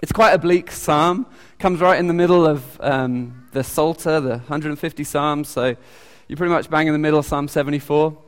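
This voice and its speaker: A man with a British accent giving an informal sermon. He talks haltingly, with many ums and restarts. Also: a little high, fairly even intonation. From United Kingdom. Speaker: lay preacher. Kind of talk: informal sermon with audience questions. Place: church hall. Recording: long echoey church reverb.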